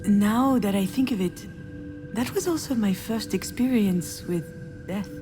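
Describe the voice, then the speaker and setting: A woman's voice: medium pitch, measured pace, close to an everyday voice. An actress playing a troubled, self-doubting woman, confiding her thoughts in introspective video game narration. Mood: reflective. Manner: confiding, reflective. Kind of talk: scripted video game voice acting, introspective first-person narration. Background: game music.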